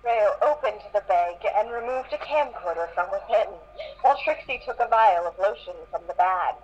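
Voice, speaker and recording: A woman's voice: mid-range voice, expressive, moderate pace, a little mellow. A woman reading a fan fiction story aloud in a live group reading. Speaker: reader in a group comedy reading. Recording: dull lo-fi voice-chat microphone.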